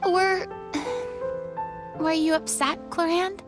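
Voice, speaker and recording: A woman voicing a chatty, good-natured game character with an expressive, casual manner. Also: extremely high pitch, takes it slowly. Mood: concerned. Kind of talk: video game voice acting. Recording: music behind the voice.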